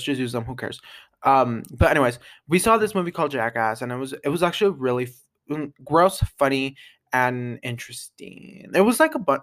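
A young man hosting an unscripted podcast, chatting casually and rambling, with lots of fillers, restarts and quick laughs. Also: medium pitch, a bit bright. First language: English. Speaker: podcast host.

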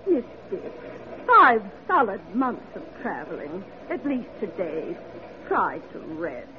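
A woman's voice: mid-range voice, huge pitch swings, slow, slight background noise. A woman playing an impassioned, pleading character in a 1940s radio drama. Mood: urgent concern. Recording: tinny old radio broadcast, music behind.

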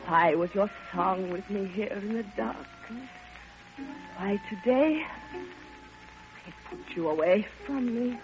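Old radio drama: lovelorn older woman played by an actress, impassioned and halting, very unhurried, muffled vintage recording with music. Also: mid-range voice, close to an everyday voice. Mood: grieving longing. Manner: impassioned, theatrical, halting delivery. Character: grieving, lovelorn old woman pouring out her heart. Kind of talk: classic radio drama monologue.

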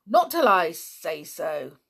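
A stern, busy middle-aged uncle from a children's adventure storybook, played by a woman reader. He is brusque and irritable, with a firm delivery. The voice is husky and swings widely in pitch. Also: very animated, slow pace, a bit bright, heavily acted. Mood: firm, irritated.

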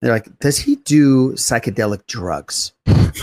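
A man's voice: mid-range voice, unhurried. A man hosting a podcast in casual, chummy banter with his co-hosts. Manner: animated, chummy, addresses co-hosts as buddy. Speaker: podcast host.

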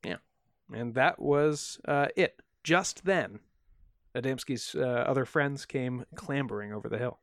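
A man's voice: mid-range voice, unhurried, a bit bright, no echo, clean studio sound. A man co-hosting a podcast, recounting an odd story in a wry, joking way with plenty of fillers. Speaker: podcast co-host. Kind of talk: casual storytelling of a strange tale.

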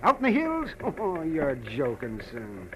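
An actor playing a fussy, folksy older small-town night editor in a 1940s radio drama, muttering and hesitant. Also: male voice, a little high, huge pitch swings, a little slow, dull audio. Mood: skeptical, amused. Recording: slightly noisy vintage radio recording.

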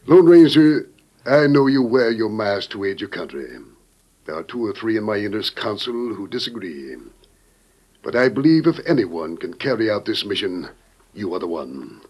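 A grave, dignified statesman in a classic radio drama. The voice is deep and husky, swinging widely in pitch through solemn, deliberate oratory. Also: very animated, a little mellow. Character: grave, dignified American president briefing a secret agent. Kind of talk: old-time radio drama acting.

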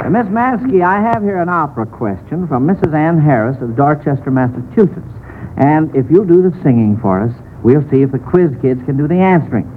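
American guy hosting an old 1940s radio quiz, higher voice, narrow tinny sound with some hiss. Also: animated, fairly quick. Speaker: quiz show host.

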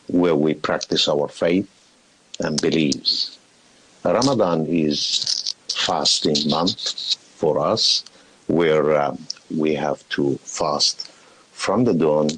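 Middle-aged man from Palestine, podcast guest; deep, slow, hesitant delivery. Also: noisy background. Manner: slow, hesitant, many fillers, laughs often. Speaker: guest, shop owner. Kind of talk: podcast interview.

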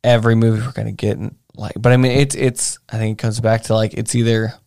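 A man's voice: lowish voice, level tone, fast, vocal fry, darkish tone, no room sound, clean studio sound. A man co-hosting a podcast, chatting casually and informally about films. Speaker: podcast co-host. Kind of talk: casual film discussion chat.

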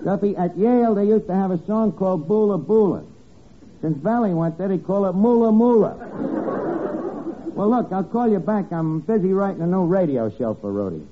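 A cocky tavern manager, high-voiced and swinging widely in pitch. He talks in slangy, dry wisecracks, like a 1950s radio sitcom. The old broadcast is thin and noisy, with a live audience behind. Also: expressive, moderate pace, narrow, tinny audio, some chatter behind. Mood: wry, cocky. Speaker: comedy star.

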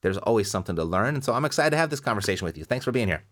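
A man with a fairly low voice hosts a podcast in a punchy, motivational way. He leans on repetition to hammer his points home, and he welcomes a guest with upbeat enthusiasm. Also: sing-song, racing, fairly bright tone. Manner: motivational, repeats phrases for emphasis. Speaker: podcast host. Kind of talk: energetic podcast monologue and interview.

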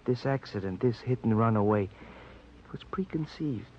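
An actor playing a grave, compassionate male doctor in an old radio drama, measured, with quaint turns of phrase. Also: medium pitch, animated, natural voice. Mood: grave, concerned. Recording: muffled old radio, faint noise.

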